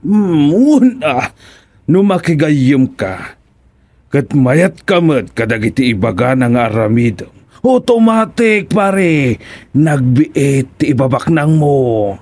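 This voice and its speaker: A man's voice: on the high side, very animated, slow pace, darkish tone, dry recording, heavily acted. A man acting a money-obsessed, scheming village husband in an Ilocano radio comedy drama, boastful and cajoling, with an Ilocano Filipino accent. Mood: coaxing.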